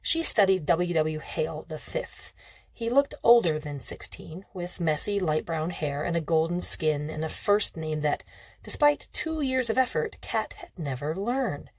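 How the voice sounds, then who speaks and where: A woman reading a novel aloud as expressive scripted narration. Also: fairly low pitch, a little slow, dry recording. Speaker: audiobook reader.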